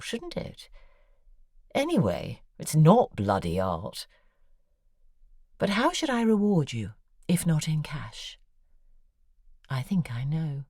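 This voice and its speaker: A woman's voice: very deep voice, darkish tone, dry recording, studio quality, full character voice. An actress playing a worldly, sardonic woman novelist, talking in an intimate, confiding and drily ironic way. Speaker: novelist writing letters. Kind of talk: audio drama monologue.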